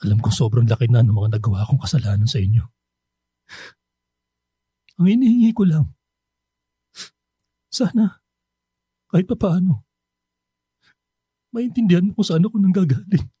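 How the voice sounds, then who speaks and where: Remorseful dad, middle-aged: very dark, husky, halting and pleading, radio drama over faint music. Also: male voice, a little high, big pitch swings, no room sound, heavily acted. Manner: halting, soft-spoken, apologetic. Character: remorseful middle-aged father, hesitant, pleading, hiding his true self.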